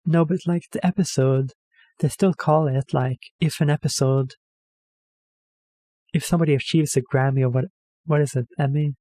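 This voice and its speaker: Man, mellow voice, dry sound, hesitant rambling reaction host. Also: a little high, steady tone, normal speed, pauses often, no echo. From Norway. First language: Norwegian. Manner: hesitant, rambling, self-correcting with many fillers. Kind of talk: casual rambling commentary.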